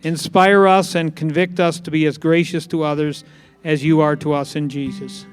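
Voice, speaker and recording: A man's voice: fairly high pitch, flat delivery, normal speed, faint music behind. A man reading a prayer aloud as a member of a congregation.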